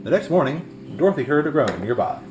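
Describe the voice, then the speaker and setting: Male storyteller, scripted read-aloud, expressive, very varied pitch, faint background music. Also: fast, poor audio. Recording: music behind the voice.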